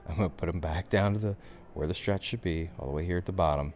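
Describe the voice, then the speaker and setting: A man giving a software tutorial as instructor, talking through the steps in an informal, conversational way. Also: deep voice, quick pace, faint music behind.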